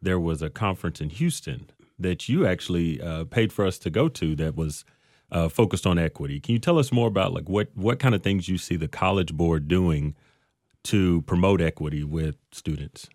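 A man's voice: sits low, normal speed, a little mellow, no room sound, clean studio sound. A man in his thirties co-hosting a podcast, easygoing and asking questions. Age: 30s. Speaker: podcast co-host. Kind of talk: casual chat.

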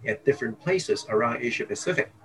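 A man's voice: medium pitch, animated, quick pace, a bit bright. A man from Hong Kong, a dentist, talking casually as an interview guest and tagging his points with "okay?" and "you know". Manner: chatty, peppers speech with "okay?" and "you know".

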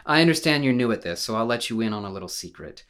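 A man's voice: medium pitch, expressive, fast talker, fairly bright tone, clear, resonant tone, natural voice. A man plays a combative conservative pundit in a radio drama. His mid-range voice is coolly superior, lecturing and arguing as if coaching a novice. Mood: cocky, coaching. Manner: instructive, argumentative. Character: combative, self-assured male conservative debater, coolly superior. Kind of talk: radio play acting.

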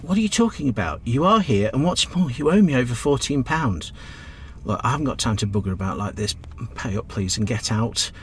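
A man acts a talkative, good-hearted British taxi driver in a radio play. He is colloquial and familiar, easily exasperated, with a mid-pitched voice over clear vehicle ambience. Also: sing-song, a little fast, slight background noise, close to an everyday voice. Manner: chatty, colloquial, calls passenger love. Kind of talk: radio drama acting.

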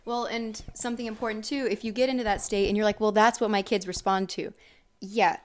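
A woman's voice: mid-range voice, fairly even intonation, fairly quick. A woman in her thirties hosting a casual talk with her father, earnest and chatty, often laughing. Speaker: host.

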